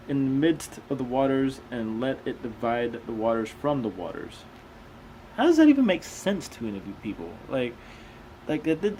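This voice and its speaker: A man's voice: mid-range voice, darkish tone, a little hiss or hum, rough recording. A man reading aloud and commenting casually as a host, with a skeptical, questioning manner. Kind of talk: casual commentary between read-aloud passages.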